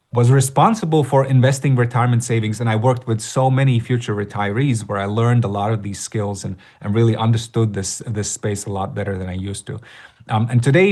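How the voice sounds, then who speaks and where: A middle-aged man from Latvia leads a live online workshop at an ordinary mid-range pitch. He talks loosely and conversationally, often pausing on fillers and restarting his sentences. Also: a little mellow. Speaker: workshop host. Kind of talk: live webinar presentation.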